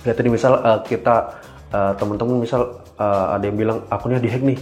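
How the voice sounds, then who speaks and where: A man, answering casually as a podcast guest, hesitant and full of filled pauses. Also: medium pitch, fairly even intonation, a little mellow, faint music behind. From Indonesia. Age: 20s. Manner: hesitant, halting delivery with frequent filled pauses. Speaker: guest cyber security engineer.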